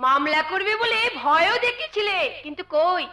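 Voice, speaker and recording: An actress playing a protective, sharp-tongued sister-in-law with emphatic delivery in Bengali folk theatre melodrama. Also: female voice, extremely high pitch, animated, normal speed, full character voice. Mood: scornful, angry. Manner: emphatic, declamatory. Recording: echoey stage recording with loud music.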